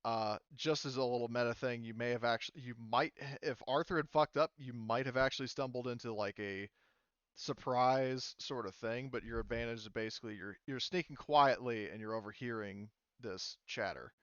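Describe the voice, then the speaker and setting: A man with a smooth, clean voice runs a tabletop game as its game master. He works out his rulings aloud, hesitant and casual. The audio is dry and slightly dull. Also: medium pitch, normal speed, fairly bright tone, dry recording. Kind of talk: improvised tabletop game narration.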